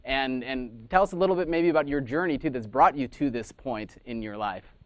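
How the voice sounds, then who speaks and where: Male show host, mid pitch, enthusiastic interviewer, dry booth sound. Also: fairly quick, no echo. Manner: enthusiastic, repeats "amazing", some restarts. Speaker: podcast host. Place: Sydney, Australia. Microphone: video call.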